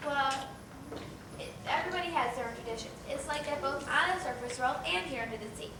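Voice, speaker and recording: A woman playing a gentle, concerned crab mother who reassures her child in a children's stage play. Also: high voice, a little fast, distant mic. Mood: concerned, soothing. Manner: caring, reassuring. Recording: very echoey, noisy hall from afar.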